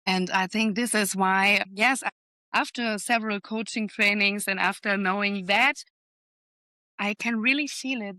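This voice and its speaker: A young adult woman co-hosting a podcast, talking calmly and reflectively and pausing to search for words. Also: mid-range voice, no room sound. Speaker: podcast co-host and forest bathing trainer. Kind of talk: casual podcast chat.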